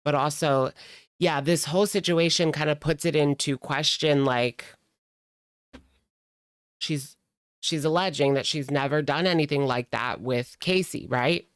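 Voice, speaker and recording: A reaction streamer talks through commentary in a casual, sardonic, gossipy way. The delivery is unhurried, and the voice is clear and smooth at a medium pitch, with a dry, close sound. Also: no echo.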